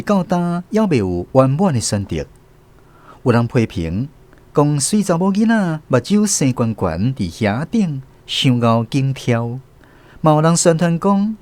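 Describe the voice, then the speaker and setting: A man's voice: medium pitch, a little mellow. A man with a Taiwanese accent reading scripted literary narration as the narrator of a radio drama.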